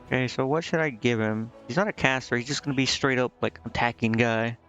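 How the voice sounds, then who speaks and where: A man's voice: mid-range voice, fairly quick, a bit bright, highs cut off. Man thinking aloud over game music. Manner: thinking aloud, casual swearing. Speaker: game streamer. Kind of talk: casual live gameplay commentary, muttering and reading menu text aloud.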